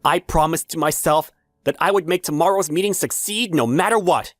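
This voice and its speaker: A man's voice: a little high, no room sound, natural voice. A man playing an earnest, polite young salesman who narrates his own story in a manga dub.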